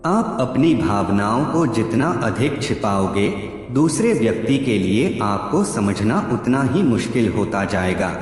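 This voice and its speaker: Male narrator, scripted and deliberate, animated intonation, drenched in echo. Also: fairly low pitch. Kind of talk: scripted narration of psychology facts. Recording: heavy echo, long reverb, background music. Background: music.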